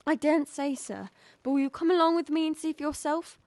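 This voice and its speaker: A woman's voice: sits high, steady tone, a little fast, a little mellow, no room sound. A woman playing a loyal, frightened servant in a radio drama, deferential but urgent and jittery. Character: frightened, loyal female servant, fierce when pressed.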